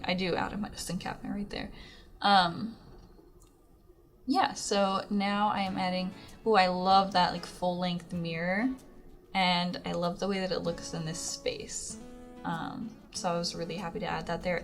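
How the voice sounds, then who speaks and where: A hoarse young woman giving a chatty, rambling voice-over while she talks through her build. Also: lowish voice. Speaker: Sims speed-build YouTuber. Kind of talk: casual voice-over commentary. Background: background music.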